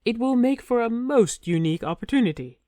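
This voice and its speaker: A narrator voicing a scheming young king, smooth and persuasive. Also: medium pitch, expressive, brisk, mellow, dry recording, studio quality, pitched above the performer's own voice, close to an everyday voice. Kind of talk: audiobook character voice.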